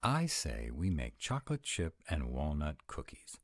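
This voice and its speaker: Grumpy tsundere were-dragon played by a man, roleplay audio. Bass-deep with lively swings, fussy about rules, dry close sound. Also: no echo, heavily acted. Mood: fussy, cooperative. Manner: fussy, exasperated, drawn-out emphatic phrasing. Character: grumpy, rule-bound male were-dragon, exasperated tsundere boyfriend.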